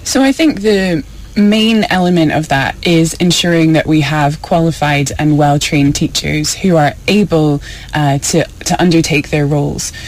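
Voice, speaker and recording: A woman speaking as an interview guest on education, steady and measured. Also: low-pitched, poor audio. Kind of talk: radio interview.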